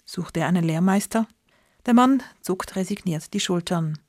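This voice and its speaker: A woman reporter giving scripted, measured narration for a news feature. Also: lowish voice, darkish tone, dry recording, clean studio sound. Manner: measured, descriptive.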